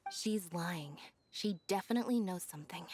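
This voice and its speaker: A woman playing an aloof, laconic young magician's assistant in an anime-style game, with a deadpan, terse delivery. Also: fairly low pitch, normal speed, slight background noise, close to an everyday voice. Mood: matter-of-fact.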